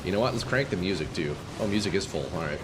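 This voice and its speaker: A man streaming a game live, chatting casually with viewers, swearing and reacting loudly, his voice going a little hoarse. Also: low-pitched, animated, fast, background noise. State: slightly hoarse, losing voice. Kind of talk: casual live-stream chat while playing. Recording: faint game music behind.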